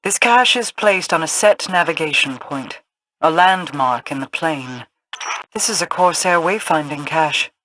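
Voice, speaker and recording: A regal queen from a sci-fi fantasy game. The delivery is formal and composed, in a bright, focused voice. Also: sits low, animated, a little slow, slight background noise, rough recording. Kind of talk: scripted video game voice acting.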